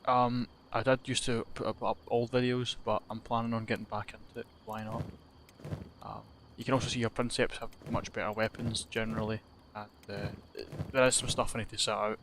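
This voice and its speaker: A man's voice: lowish voice, little pitch movement, a little fast, noisy background. A young Scottish man giving rambling, self-deprecating commentary over a game he is playing, swearing casually. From Scotland. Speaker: gaming YouTuber.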